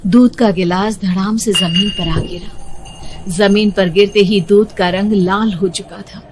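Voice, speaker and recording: A woman's voice: mid-range voice, fairly even intonation, a little slow, noisy background. A woman narrating a scripted horror story in the first person, dramatic, suspenseful and emotive. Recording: music behind the voice. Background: music.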